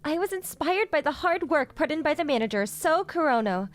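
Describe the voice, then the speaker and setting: A woman's voice: high-pitched, lively intonation, brisk. An actress playing a sweet, meek young woman, earnest and timid, in a romcom manga dub. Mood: cheerful. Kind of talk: anime-style romcom manga dub acting.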